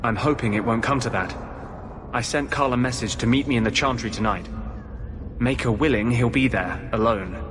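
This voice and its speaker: An actor voicing an earnest, defiant mage healer with a measured, intense delivery in a dramatic video game. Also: male voice, medium pitch, lightly acted. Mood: earnest, determined. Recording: music behind the voice.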